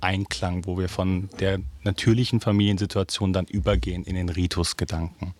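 A man's voice: low-pitched, level tone, a little fast, a little mellow. A man explaining something casually as a guest in an interview. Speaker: interview guest, theatre maker.

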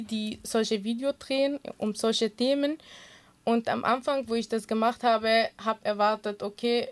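A woman whose first language is Kurdish, giving earnest, conversational interview answers in non-native German as a guest. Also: a little high. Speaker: interview guest, swimming teacher and social media creator.

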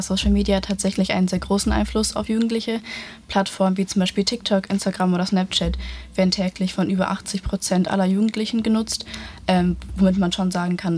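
A woman's voice: fairly low pitch, darkish tone. An eighteen-year-old woman, a student panelist, reading a prepared answer with many filler hesitations. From Germany.

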